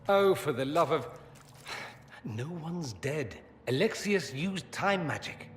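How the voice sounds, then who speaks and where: An actor playing a clever, urbane mage in a video game, with a dry, droll and impatient manner. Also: male voice, a little high, lively intonation, takes it slowly. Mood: exasperated. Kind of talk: dramatic video game cutscene acting. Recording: faint background noise.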